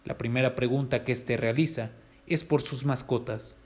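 A man's voice: mid-range voice, fairly even intonation, normal speed, a little mellow. A man with a Mexican accent reading scripted news narration.

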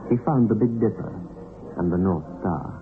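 A man narrating a suspense radio play in a measured, dramatic storytelling manner. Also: on the low side. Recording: phone-like narrow-band old radio recording. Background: background music.

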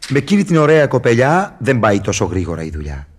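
An actor in a radio drama playing a sly, mocking devil who wheedles and teases in a declamatory way. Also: male voice, medium pitch, very animated, slightly rough. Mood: teasing. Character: sly, mocking devil tempter and fixer, male. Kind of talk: theatrical radio drama acting.

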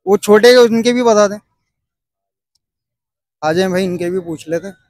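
Man, Karachi Urdu accent, high voice, dry close sound, chatty market vlog, haggling. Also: measured pace, a little mellow, dry recording. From Pakistan. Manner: chatty, pushes for a lower price. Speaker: vlogger. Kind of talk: casual market walkthrough, haggling. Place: outdoor cattle market under a tent.

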